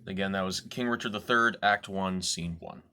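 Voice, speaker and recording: A man's voice: deep voice, normal speed, pushed and bright, clear, resonant tone. A man who works as an actor, casually introducing a dramatic reading to his viewers.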